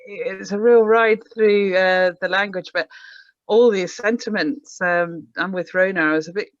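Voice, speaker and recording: A woman's voice: medium pitch, steady tone, normal speed, no room sound. An English woman with a Surrey accent, asking a question over a video call in a bubbly, somewhat sheepish way. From England. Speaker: audience member asking a question. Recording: dry, dull video call audio.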